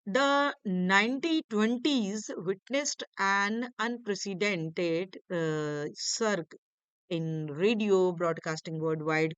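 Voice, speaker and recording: A woman reading a scripted academic lecture to learners in halting, deliberate phrases. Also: fairly low pitch, clean tone, dry recording.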